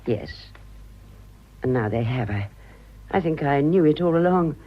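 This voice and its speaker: An older woman in a radio drama, worldly and rueful. Her voice is husky and breathy as she pleads with dramatic, halting feeling. The recording is dull and muffled. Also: deep voice, lively intonation. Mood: guarded. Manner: emotional, hesitant, dramatic.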